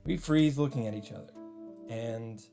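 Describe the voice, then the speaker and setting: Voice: medium pitch, normal speed, mellow. A commentator reading a visual novel story aloud and reacting to it. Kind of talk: narrated visual novel read-through with reactions. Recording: music under the voice. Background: game music.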